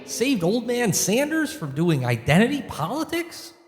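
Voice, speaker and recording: A man acts a folksy angel in a comic radio play, high and extremely animated. He sounds naive and curious, in long reverb with music behind. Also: a little slow, heavily acted. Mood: curious, puzzled. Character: folksy heavenly angel watching a man's life.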